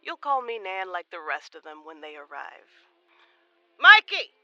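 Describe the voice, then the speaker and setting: A woman's voice: lowish voice, sing-song, unhurried, bright, forceful tone, no room sound, highs cut off, full character voice. An actress playing a doting older mother in an audio drama, affectionate and playfully bossy. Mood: affectionate, teasing.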